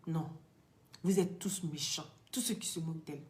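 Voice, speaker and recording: A woman's voice: low voice, normal speed. Ivorian woman vlogger, outspoken and emphatic, street-slang French as a second language. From Côte d'Ivoire. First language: not French. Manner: blunt, emphatic, street slang, rises to loud outbursts. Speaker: online commentator. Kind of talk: outspoken monologue to camera.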